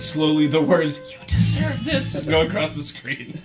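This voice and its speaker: Higher-pitched man bantering over background music. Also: big pitch swings, a little slow. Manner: sweary, teasing. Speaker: player in a tabletop game. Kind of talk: casual joking banter. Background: ambient music.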